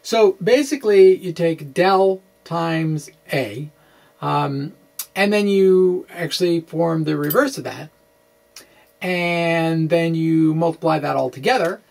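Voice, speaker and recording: A man in his forties presenting physics, part dramatic reading and part informal explanation, in an animated, quirky manner with hesitant asides. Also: on the high side, slow, creaky. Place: small indoor room with bookshelves.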